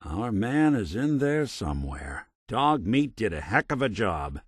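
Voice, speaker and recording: A man's voice: on the low side, sing-song, a little slow, breathy edge, dry recording, clean studio sound, heavily acted. A man voicing a weary, wry old-school detective robot with an American accent and hard-boiled noir phrasing, in a video game. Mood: dry, businesslike.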